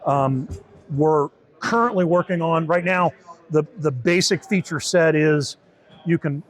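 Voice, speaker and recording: A man's voice: a little high, unhurried, faint music behind, rough recording. A man giving an informal, step-by-step demo and explanation of how something works. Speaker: beta test supervisor giving a product demo.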